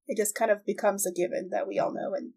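Woman podcast host, casual and a bit tentative, giggly, mid pitch. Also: little pitch movement, fast, gravelly, mellow, breathy edge. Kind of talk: casual interview chat.

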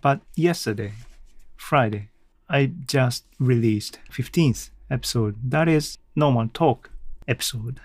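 A man's voice: medium pitch, dry recording. A man hosting a casual solo podcast, speaking hesitantly and often correcting himself. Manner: hesitant, halting, self-correcting. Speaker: podcast host.

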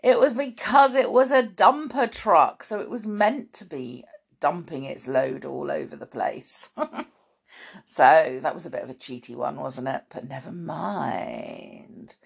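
A woman's croaky, gravelly morning voice, slow and unhurried, talking to children in a chatty way. Her pitch sits low but swings widely as she muses aloud, and she scatters ums and soft laughs between her thoughts. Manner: warm chatty aside-filled talk with many ums and little laughs. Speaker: children's podcast host and storyteller. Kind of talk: chatty spoken intro to children's story podcast.

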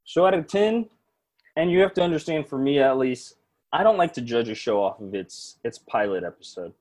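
A young man co-hosting a casual chat about a TV show, measured and thoughtful, with easy laughs. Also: mid-range voice, expressive, darkish tone. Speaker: podcast co-host. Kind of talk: casual chat reviewing a TV episode.

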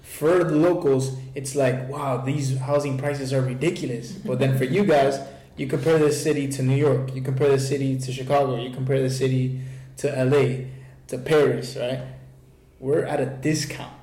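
A man's voice: mid-range voice, moderate pace, roomy sound, rough recording. A man hosting a casual conversation, talking with energy and often checking "right?". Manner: energetic, keeps saying "right?". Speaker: host.

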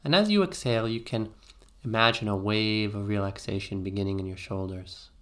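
A man with a fairly low voice leads a guided meditation. He gives soothing, gentle instructions and leaves pauses between phrases.

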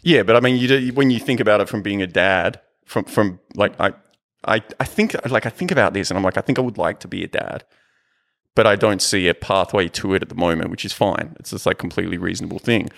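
A man's voice: fairly low pitch, fast, gravelly, fairly bright tone. A forty-year-old man in casual chat as a co-host, informal and sweary.

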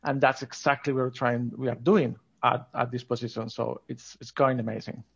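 A man's voice: medium pitch, level tone, normal speed, creaky, breathy edge, muffled recording. A man from northern Spain with a Spanish accent, talking enthusiastically and informally as a guest in a conversational interview. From Asturias, northern Spain. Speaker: podcast guest, scientist.

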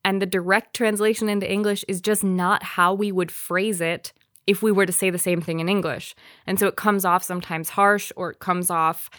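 A woman's voice: medium pitch, fairly even intonation, normal speed, a bit bright, no echo, studio quality. A woman, co-hosting a casual podcast and explaining things in fluent, easy sentences. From Wisconsin, USA. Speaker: podcast co-host. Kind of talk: casual chat.

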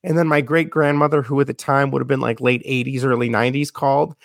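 A man's voice: medium pitch, steady tone, fast, vocal fry, no room sound, studio quality. A man in his thirties co-hosting a podcast, chatting casually and reminiscing, now and then talking to viewers in the live chat. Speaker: podcast co-host.

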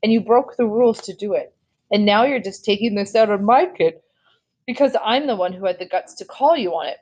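A woman voicing an angry, defensive mother in an audiobook, with sharp, argumentative retorts. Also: medium pitch, a little fast, voice pushed up, natural voice. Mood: indignant.

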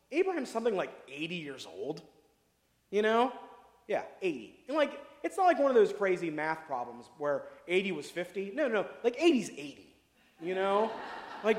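A pastor preaching a casual sermon, chatty and jokey, full of asides and questions to the congregation. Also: male voice, high voice. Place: church sanctuary. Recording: echoey hall with long reverb.

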